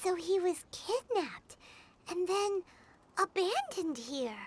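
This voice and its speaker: Game sidekick: shrill chatty fairy, lively, high. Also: female voice, slow, slight background noise, heavily acted. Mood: puzzled. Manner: excitable, talks about herself in third person. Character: small chatty female fairy companion.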